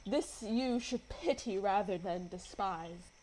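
A woman's voice: medium pitch, slow pace, a little mellow, rough recording. A woman playing an aggrieved, lovelorn young woman in a Shakespeare radio play, declaiming theatrically in verse. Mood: hurt, reproachful.

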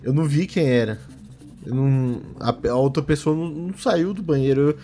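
A man's voice: a little high, normal speed, dark tone, soft background music, close to an everyday voice. A man playing a nervous office worker questioned as a witness, hesitant, stammering and repeating his words in a tabletop game. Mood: shaken, confused.

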